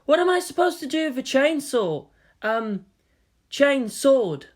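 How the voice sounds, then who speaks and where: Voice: high-pitched, big pitch swings, unhurried, pitched above the performer's own voice. A narrator voicing a bashful, self-conscious young Japanese man with a hesitant, questioning manner. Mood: puzzled.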